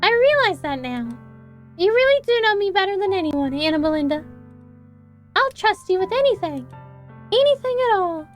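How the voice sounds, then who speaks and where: A woman voicing a heartbroken teenage schoolgirl who pleads in a soft, tearful way. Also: very high voice, big pitch swings, normal speed, long pauses, soft background music, pitched above the performer's own voice. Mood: tearful, pleading.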